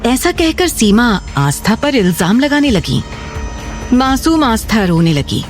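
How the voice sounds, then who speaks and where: A woman's voice: on the high side, sing-song, unhurried, faint music behind. A woman reading scripted, dramatic story narration for an audio series.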